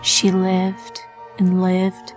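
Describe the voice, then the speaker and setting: A woman narrating a dark fanfiction story in steady, solemn, scripted storytelling. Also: on the low side, drawn-out words, faint music behind.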